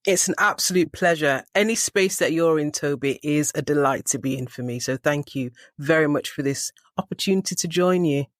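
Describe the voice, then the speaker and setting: A woman's voice: low voice, brisk, hardly any pauses, dry recording. The seasoned English woman guest on a leadership podcast, reflecting on her life carefully and articulately in a dry, close recording. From Manchester, England. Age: middle-aged. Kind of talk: reflective personal storytelling in an interview.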